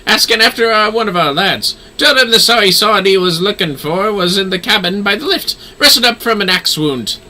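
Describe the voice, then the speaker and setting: Man playing a blunt, salty soldier, bright-voiced. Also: high-pitched, animated, moderate pace, few pauses, heavily acted. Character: gruff male fantasy soldiers, blunt and salty.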